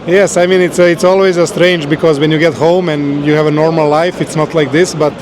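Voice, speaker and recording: A man with a Serbian accent, a guest in a casual interview, speaking in a steady, measured way with some hesitation. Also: fairly high pitch, crowd noise. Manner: steady, measured, a little halting.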